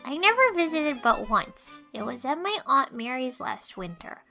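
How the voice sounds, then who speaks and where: A woman's voice: high-pitched, big pitch swings, a little slow, sharp tone, faint music behind, heavily acted. A woman playing an earnest, clumsy schoolgirl, reading her composition matter-of-factly for an audiobook.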